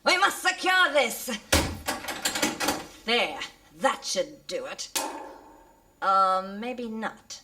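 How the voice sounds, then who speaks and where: High-voiced, brisk starship captain. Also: female voice, sing-song, heavy background noise, full character voice. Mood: urgent. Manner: hurried, commanding, polite. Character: brisk, urgent female starship captain, earnest and commanding. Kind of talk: sci-fi radio comedy acting.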